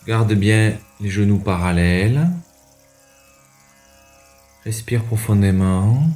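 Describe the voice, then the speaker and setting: A man leads a yoga session in a low, very soft-toned and mellow voice. He gives measured instructions one step at a time, leaving pauses between them. Faint music plays underneath. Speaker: yoga teacher and radio show host. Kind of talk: guided yoga class instruction.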